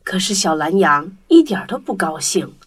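A woman's voice: fairly low pitch, very animated, moderate pace, faint music behind. A woman narrating a children's storybook tale in a scripted storytelling style.

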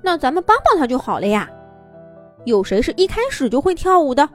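A woman's voice: high-pitched, very animated, fairly quick, faint music behind, voice pushed up. A woman voicing a kind, upbeat young boy rabbit in a children's story, talking in a coaxing, encouraging way.

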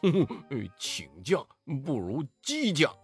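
一位男子在故事中扮演猪八戒，说话吞吞吐吐，嗯嗯呃呃。. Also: male voice, on the high side, huge pitch swings, slow pace, soft background music, exaggerated voice. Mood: sly. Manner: hesitant, hemming and stammering. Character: lazy scheming male pig monk.

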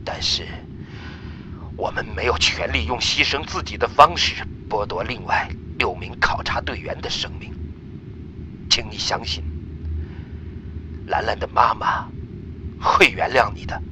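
A man's voice: mid-range voice, expressive, unhurried, pauses often, background noise, close to an everyday voice. A man playing an earnest, burdened space mission leader, pleading solemnly in a radio drama voice message.

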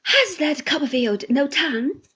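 A woman reading aloud, voicing a sly, bitter young man whose protests go whining and shrill. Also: lively intonation, measured pace, sharp tone, full character voice. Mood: sneering.

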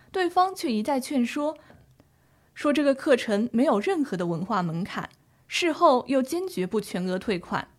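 A woman playing an earnest, concerned grandchild seeking legal advice in a scripted educational audio drama. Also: a little high. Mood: indignant.

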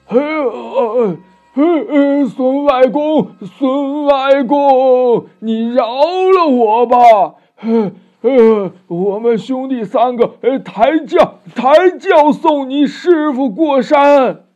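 A man's voice: high voice, lively intonation, a little slow, warm tone, pitched above the performer's own voice. A man voicing a blustering old demon king from a folk storybook, bullying one moment and pleading the next. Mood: pained begging. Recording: dull lo-fi storytelling recording with music.

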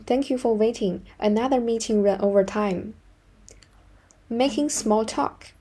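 A woman's voice: a little high, warm tone. A woman working as an English teacher, narrating a scripted language lesson and modelling example phrases in an instructional way.

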